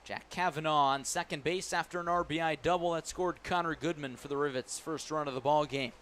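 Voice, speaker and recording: A man calling a baseball game live on radio as the play-by-play announcer, describing each pitch in detail. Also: fairly quick. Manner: descriptive, excited calls rising on big plays. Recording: clear background noise at ballpark. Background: ballpark ambience.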